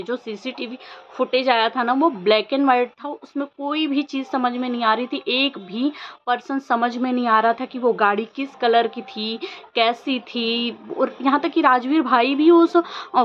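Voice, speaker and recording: A woman vlogger giving informal opinion commentary, questioning insistently and repeating her points for emphasis. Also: a little high. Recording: dull lo-fi audio with faint noise.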